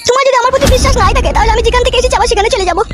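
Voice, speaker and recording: A man voicing a scheming, foul-mouthed village mother in an amateur comedy cartoon, with a rural West Bengali accent, a dramatic scolding manner and a pitched-up voice. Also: very fast, over loud music. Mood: indignant.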